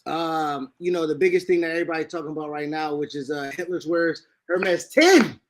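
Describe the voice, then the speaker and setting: A man from New co-hosting a casual wrestling podcast, loud and slangy with hype-man energy. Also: a little high, a little fast, slight background noise. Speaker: podcast co-host.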